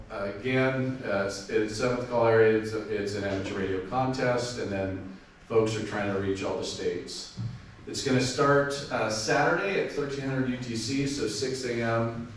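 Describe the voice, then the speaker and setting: Low-voiced man presenting in an echoey room. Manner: informal, chatty, with frequent fillers and restarts. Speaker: amateur radio club presenter. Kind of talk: club presentation. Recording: far-off mic in a very echoey room with faint noise.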